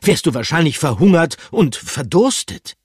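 A man's voice: on the high side, big pitch swings, moderate pace, slightly rough, no echo, clean studio sound, voice pushed up. A man voicing a blunt, scolding small male animal in a children's story. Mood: stern.

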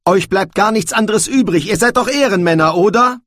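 A man's voice: on the high side, animated, normal speed, fairly bright tone, slightly rough, no echo, studio quality, pitched above the performer's own voice. A man voicing an earnest, exasperated young tribesman in an audiobook, urgent and pleading.

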